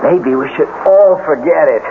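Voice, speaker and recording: An actor playing a wry, cautious, indulgent fiancé in an old-time radio drama, with a dry, teasing delivery. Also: male voice, on the high side, normal speed, lightly acted. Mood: uneasy. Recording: noisy tinny old radio broadcast recording.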